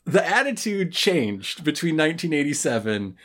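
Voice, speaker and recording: A woman podcast co-host with a fairly low voice and very lively intonation. She recaps in a casual, comedic style, dropping jokey asides and puns as she goes. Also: moderate pace.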